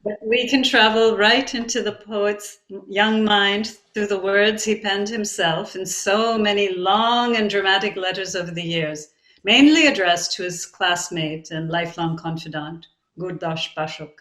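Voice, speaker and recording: A reflective Bengali woman narrator played by an actress in a dramatic reading, recorded in an echoey room. Her voice is bright and clear-edged, and she speaks in measured, expressive, literary phrases. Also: medium pitch, close to an everyday voice. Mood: wistful, narrating. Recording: echoey, roomy sound.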